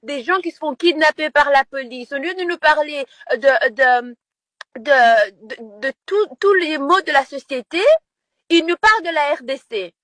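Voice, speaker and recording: Woman, high pitch, insistent interview guest, dry recording. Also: lively intonation, a little slow, fairly bright tone, no room sound. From Rwanda. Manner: insistent, repeats words when stumbling. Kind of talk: impassioned political interview.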